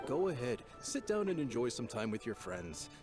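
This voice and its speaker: A man's voice: mid-range voice, very animated, normal speed, music in the background, close to an everyday voice. A man voicing a jovial, easygoing middle-aged restaurant chef in an anime game, hearty and friendly.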